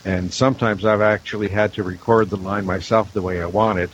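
A man with a fairly low voice talks casually as a panel guest. The recording is dull and lo-fi, with faint hiss behind him. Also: steady tone, fairly quick. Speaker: audio drama producer and director, panel guest. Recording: dull lo-fi audio with clear hiss.

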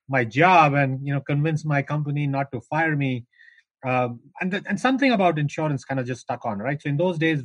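A man's voice: mid-range voice, no room sound, rough recording. A middle-aged man from India with an Indian accent, telling his career story at length as a guest in a podcast interview, with frequent restarts. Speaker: guest, founder of an insurance tech business.

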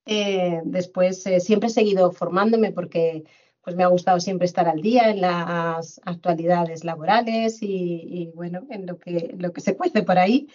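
A woman's voice: on the low side, fairly even intonation, a little mellow, lo-fi sound. Middle-aged woman from Madrid. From Spain, Madrid. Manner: measured, with frequent fillers and repetitions. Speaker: guest, HR director. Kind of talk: conversational interview.

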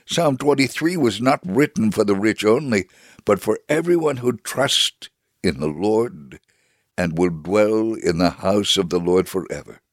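A man giving a dramatic reading as a devout Holocaust survivor telling his story, measured, solemn and earnest. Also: on the low side, lively intonation, dry recording, studio quality. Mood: solemn, assured. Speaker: dramatic reader. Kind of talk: dramatic first-person memoir reading.

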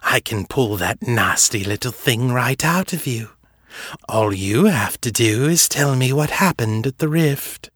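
A man's voice: medium pitch, expressive, unhurried, fairly bright tone, breathy edge, dry recording, clean studio sound. A man voicing a gleeful, sadistic male vampire who taunts in a sing-song, cooing way.

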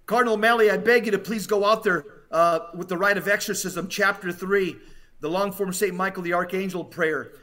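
A man's voice: sits high, brisk, sharp tone. A man giving impassioned religious exhortation as a podcast commentator, urgent and emphatic, often repeating phrases for weight.

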